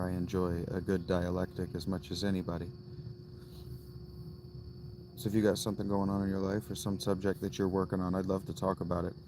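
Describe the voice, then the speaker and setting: A man in his thirties chatting on a casual livestream from inside a tent, musing slowly and restarting his thoughts as he goes. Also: low-pitched, noisy background. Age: 30s. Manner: slow, musing, thinks aloud with restarts. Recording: loud crickets and outdoor ambience. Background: crickets.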